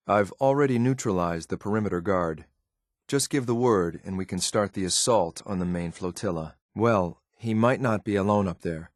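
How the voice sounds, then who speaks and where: An actor playing a cold, loyal synthetic enforcer in a video game, speaking in a clipped, formal, deadpan and disdainful way. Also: male voice, fairly low pitch, no room sound, clean studio sound, natural voice. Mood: cool, controlled. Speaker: video game companion character. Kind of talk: scripted game voice lines.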